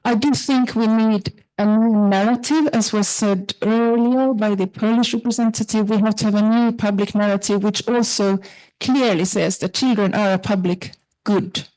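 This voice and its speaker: A woman's voice: mid-range voice, steady tone, moderate pace, darkish tone, rough recording. A woman from Finland, making an earnest, fluent comment from the audience at a symposium. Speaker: audience member asking a question. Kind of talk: spontaneous comment from the floor.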